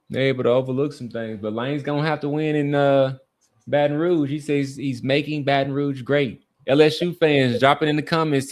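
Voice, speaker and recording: A man hosting a casual sports talk podcast, speaking emphatically and often repeating his phrases for weight. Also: mid-range voice.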